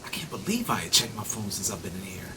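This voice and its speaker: A man's voice: fairly low pitch, very animated, fast talker, bright, forceful tone, far from the mic, a little hiss or hum. A man playing a hapless, forgetful diner customer in a radio play, hesitant, stammering and dryly wry. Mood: bewildered.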